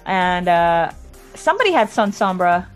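A woman's voice: on the low side, big pitch swings, moderate pace, soft background music. Playful woman co-hosting a podcast. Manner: playful, giggly, reads comments aloud. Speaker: podcast co-host reading listener comments. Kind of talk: casual radio show chat.

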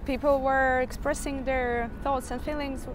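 Slow, halting woman, a coloratura soprano singer. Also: high-pitched, level tone, slight background noise. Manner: halting, many fillers, searching for words. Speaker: interview guest, singer. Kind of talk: casual interview answers.

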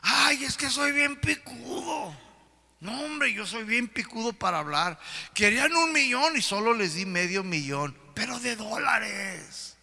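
A swaggering, vain rich man, acted out by a male preacher. The voice is high, sharp and bragging, ringing in a big hall. It is a sermon caricature of a show-off. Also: animated, measured pace, vocal fry, full character voice. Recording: reverberant hall.

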